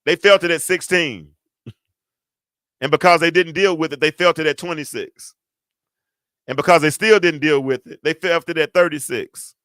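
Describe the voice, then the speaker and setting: A middle-aged man with a deep, stentorious voice, hosting a talk show and telling a story to his audience. His tone is bright and forceful, and he leans on repetition and folksy asides for emphasis. The sound is dry and close. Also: normal speed, long pauses, smooth, dry recording. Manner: emphatic, repeats words for effect, folksy asides.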